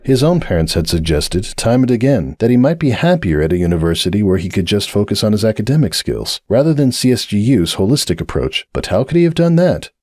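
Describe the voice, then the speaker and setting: A man narrating a dramatic audiobook reading in an expressive storytelling manner. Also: a little fast, hardly any pauses, no room sound, studio quality. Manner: expressive storytelling with shifting pitch.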